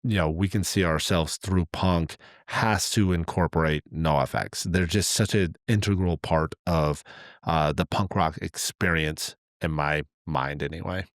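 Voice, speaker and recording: A man's voice: low-pitched, a little slow, dry recording, clean studio sound. A middle-aged man co-hosting a podcast, talking casually in a rambling, enthusiastic way as he works out his thoughts. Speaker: podcast co-host. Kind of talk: casual conversational podcast talk.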